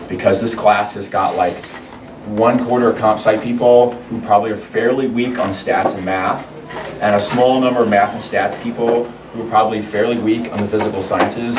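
A middle-aged man with a cold and a hoarse voice, giving a rambling, conversational university lecture with many restarts. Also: lowish voice. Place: large lecture hall. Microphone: far room mic. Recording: echoey lecture hall from far off, noisy.

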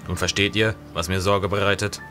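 A man acts a dutiful henchman in an audio drama with a very deep bass voice. He sounds earnest and serious, and music plays clearly behind him. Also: flat delivery, fairly quick, pushed and bright. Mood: grave. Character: earnest, dutiful criminal henchman reporting to his boss.

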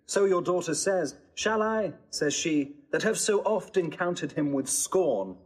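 Husky, melodramatic young gentleman. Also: high voice, expressive, unhurried, heavily acted, low-quality recording. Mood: knowing. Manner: melodramatic, knowing. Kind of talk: classical Shakespearean stage acting.

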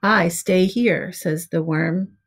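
A middle-aged woman reading a picture book aloud to young children in simple, measured storybook phrasing. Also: on the low side. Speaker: preschool teacher reading aloud. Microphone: webcam at arm's length.

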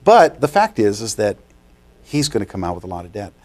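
A man's voice: fairly low pitch, sing-song, fairly quick, darkish tone. Chatty middle-aged male TV host. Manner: chatty, enthusiastic, promotional. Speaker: TV show host. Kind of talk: TV business interview. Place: TV studio set.

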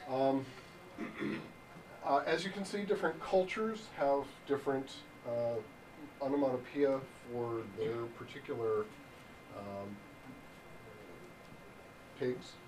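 Deadpan young male presenter, halting and slow, caught from across a function room. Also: medium pitch. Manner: deadpan, halting with many ums. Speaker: improv presenter. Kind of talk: improvised mock lecture. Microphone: far room camera. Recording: distant voice with room hiss.

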